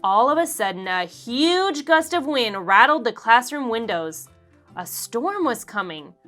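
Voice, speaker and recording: A woman reading a children's story aloud in an expressive, deliberate storytelling manner. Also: a little high, soft background music. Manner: expressive, deliberate, acts out dialogue. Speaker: children's book narrator. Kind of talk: read-aloud storytelling for kids.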